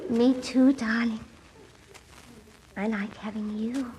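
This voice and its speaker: A woman's voice: fairly high pitch, steady tone, slow, dark tone, a little hiss or hum, rough recording. A woman playing an eerie, sweetly insistent young girl in a mid-century radio comedy, serene and coaxing. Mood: tender.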